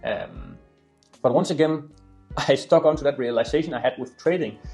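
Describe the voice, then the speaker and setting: A man's voice: medium pitch, moderate pace, gravelly, warm tone, faint music behind. A man in his twenties with a Danish accent and native Danish, telling his story casually to viewers in an earnest, emphatic way, repeating words for stress. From Denmark. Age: 20s. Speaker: YouTuber and e-commerce entrepreneur. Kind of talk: casual storytelling talk to camera.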